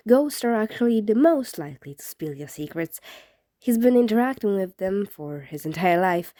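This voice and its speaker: A woman's voice: mid-range voice, mellow, dry recording, studio quality. A woman reading fan fiction aloud as scripted narration, in the style of an audiobook. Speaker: fan fiction podfic reader.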